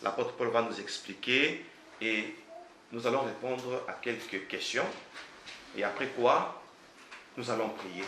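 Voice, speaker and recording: A man's voice: medium pitch, a little slow, pauses often, sharp tone, roomy sound, a little hiss or hum. A man preaching a sermon as pastor, emphatic and full of rhetorical questions; French is not his first language.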